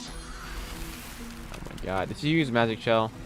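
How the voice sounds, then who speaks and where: A man's voice: mid-range voice, lively intonation, slow pace, over music. A young man from Texas with a light Texan accent, chatting casually with viewers while streaming a game, rambling with lots of fillers. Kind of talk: casual live-stream chat while playing.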